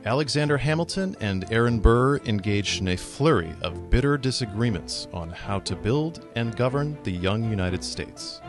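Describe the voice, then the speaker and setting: A man giving steady, grave documentary-style narration. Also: medium pitch, normal speed, few pauses. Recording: voice-over over loud music.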